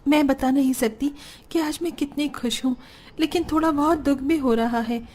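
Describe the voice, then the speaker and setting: A woman plays a devoted, anxious family woman in a cartoon melodrama. Her voice is high, and her delivery is emotional and earnest. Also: normal speed, darkish tone, close to an everyday voice. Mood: bittersweet. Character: anxious, devoted women of a poor household, mother-in-law and wife.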